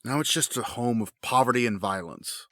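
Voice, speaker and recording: A man acts out a brooding, embittered mourner in an audio drama. His voice is sharp and swings in pitch, with grave, rhetorical intensity. The recording is close and dry. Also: animated, moderate pace, no echo. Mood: grim, intense. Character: grieving, bitter, brooding wealthy man with hardening resolve.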